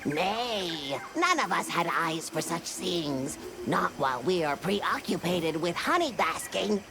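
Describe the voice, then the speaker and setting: A woman's voice: fairly low pitch, big pitch swings, slow, background noise, heavily acted. A woman voicing a small, eager, whimsical forest creature in a video game, speaking in quaint broken grammar. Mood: quaint, busy. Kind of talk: video game cutscene acting.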